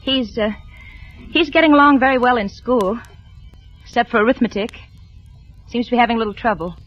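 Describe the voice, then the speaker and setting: A woman's voice: fairly high pitch, brisk, close to an everyday voice. An actress playing an anxious, evasive wife and mother with a hesitant, nervous manner, in 1940s radio drama style. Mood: uneasy. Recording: muffled old radio recording, music behind.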